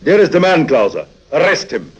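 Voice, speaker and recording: Husky, officious German-accented police inspector. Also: male voice, on the high side, sing-song, fairly quick, rough recording. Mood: commanding. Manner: formal, officious. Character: brisk, officious Austrian male police inspector. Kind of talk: 1950s radio drama acting.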